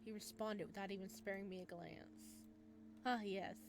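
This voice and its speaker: A woman reads a spooky visual novel aloud, mixing narration with her own quick comments. Her voice sits at a middling pitch and jumps suddenly higher when she reacts. A steady noisy hiss runs underneath. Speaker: game streamer.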